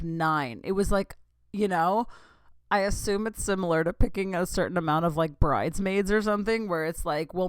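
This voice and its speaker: A woman's voice: on the low side, no room sound. Chatty woman in her thirties, dry sound. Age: 30s. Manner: rambling, giggly, lots of "like" and asides. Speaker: podcast host. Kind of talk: casual solo podcast chat.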